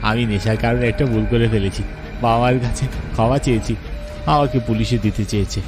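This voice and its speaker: A man voicing a young husband who is secretly a cannibal, gloating over his crimes in an animated horror story. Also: mid-range voice, a little fast, natural voice. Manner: gloating, then pleading confessions. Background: strong background music.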